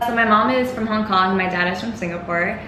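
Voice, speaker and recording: A woman's voice: mid-range voice, fairly quick, loud music behind the voice. A young woman, a guest chatting casually in an interview, laughing often and filling her talk with "like" and "um". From United States. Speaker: guest, designer. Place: restaurant or eatery. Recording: roomy, noisy background.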